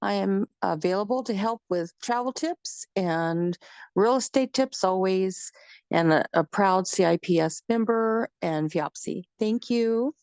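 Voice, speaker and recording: A woman working as a real estate agent, offering help slowly and warmly on a video call. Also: medium pitch, clean tone, no room sound.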